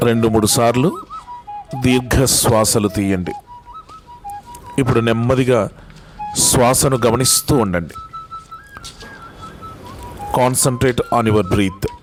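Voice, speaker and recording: A man leads a guided meditation at an unhurried, slow pace. His pitch sits in the middle range, and he gives calm, deliberate instructions with repeated phrases and long pauses. Faint music plays behind him. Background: music bed.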